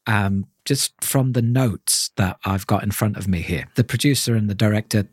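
A man co-hosting a podcast, discussing ideas casually and reflectively, feeling his way through halting phrases. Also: on the low side, breathy edge, dry recording, clean studio sound. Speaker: podcast co-host.

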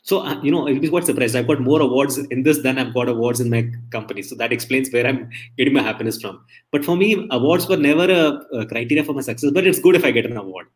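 A man from India speaks as an interview guest, rattling off stories at a fast clip. His talk is chatty and loose, full of restarts. He sounds middle-aged. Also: mid-range voice. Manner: chatty, storytelling, many restarts. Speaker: interview guest, emcee and entertainer.

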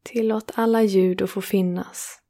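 Woman, meditation guide. Creaky, very even delivery, soothing and unhurried, with generous pauses. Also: medium pitch. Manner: slow, soothing, with long pauses between phrases. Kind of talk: guided relaxation meditation, yoga nidra.

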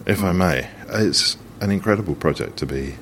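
A man presenting an on-location interview, speaking in a measured, wryly playful way. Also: low voice, lively intonation, a bit bright, a little hiss or hum. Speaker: radio presenter.

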